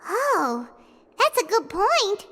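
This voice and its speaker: A woman voicing a tiny, childlike, excitable and petulant fairy companion in a cartoonish video game. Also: extremely high pitch, slow pace, long reverb tail, music in the background, exaggerated voice. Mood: curious, puzzled. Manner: childlike, speaks of herself in third person.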